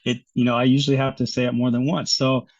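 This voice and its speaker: An American man chatting as an interview guest, with a mid-pitched voice and a slightly dull, lo-fi sound. He is easygoing, laughs often and restarts his sentences as he thinks. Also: flat delivery, quick pace, darkish tone. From United States. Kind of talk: casual interview chat.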